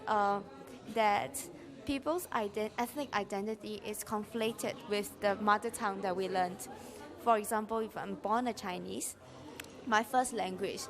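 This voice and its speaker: A young woman student from Singapore giving measured, explanatory answers in an interview. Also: mid-range voice. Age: young adult. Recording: crowd chatter behind.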